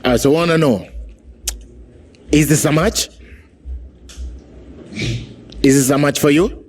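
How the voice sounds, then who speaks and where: A man's voice: fairly high pitch, slow pace, slight background noise. A man hosting a dating show, upbeat and prompting the contestants.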